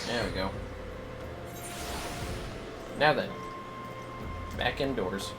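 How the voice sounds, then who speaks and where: A man chatting casually on a gaming livestream, sounding drowsy and muttering his low-key commentary. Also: unhurried, music in the background. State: tired, drowsy. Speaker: game livestreamer.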